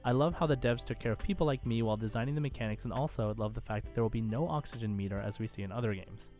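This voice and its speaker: A man's voice: mid-range voice, racing, darkish tone, faint music behind. A man co-hosting a casual gaming podcast, conversational and enthusiastic as he chats and reads out listener comments. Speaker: podcast co-host.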